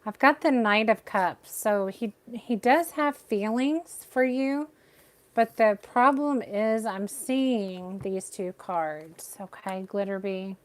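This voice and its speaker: A woman giving a live tarot reading with a soothing, gentle voice, talking straight to her viewers. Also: medium pitch, slow pace. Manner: gentle, reassuring, addresses viewers by name. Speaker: tarot reader.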